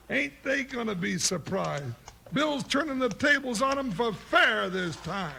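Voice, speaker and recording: An actor playing a big, jolly, folksy frontier deputy sidekick who talks in excitable cowboy slang in a 1950s radio western. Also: male voice, very high-pitched, takes it slowly, vocal fry, exaggerated voice. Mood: gleeful. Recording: very noisy old 1950s radio recording.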